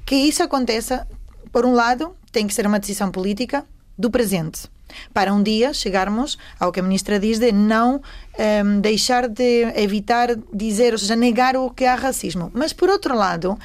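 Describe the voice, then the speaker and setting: A woman's voice: on the high side, normal speed. A woman taking part in a panel discussion, explaining her views earnestly and emphatically in unscripted speech.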